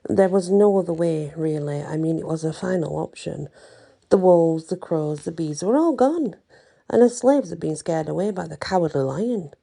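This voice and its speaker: A woman narrates a bedtime story in ASMR style, very soft and dark in tone. Her voice is husky and creaky, and she lingers over phrases in a hushed, unhurried way, now and then turning aside to the listener. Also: lowish voice, animated. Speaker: storyteller reading a tale aloud.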